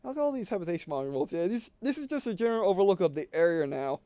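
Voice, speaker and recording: High-voiced man, halting game commentary. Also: big pitch swings, dark tone, no room sound. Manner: halting, stumbles over words and rereads. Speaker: gameplay video host. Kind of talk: reading game text aloud with casual commentary.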